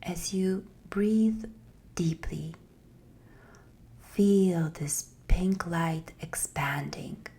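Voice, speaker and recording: A woman guiding a meditation in a soft, breathy, half-whispered voice, gentle and soothing with long pauses. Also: low-pitched. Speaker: meditation guide. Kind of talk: guided meditation, slow scripted narration.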